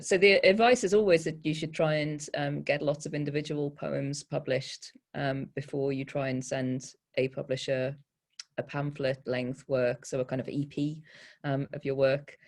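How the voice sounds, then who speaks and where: A woman poet giving informal panel advice in a candid, self-deprecating, halting way. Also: rumbling low.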